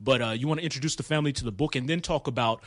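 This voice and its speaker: A male radio host who affirms his guest and steers the interview in a measured way. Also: mid-range voice, no echo. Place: radio studio.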